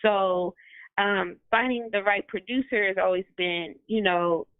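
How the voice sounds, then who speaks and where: A woman's voice: mid-range voice, unhurried, clear, resonant tone, dry recording. A woman musician talks about her music in a loose, chatty way and apologizes for long answers. Her voice comes through a thin, phone-like line with no room sound. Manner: chatty, apologetic, laughs often. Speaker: guest musician. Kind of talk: casual interview answers. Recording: tinny phone or call line.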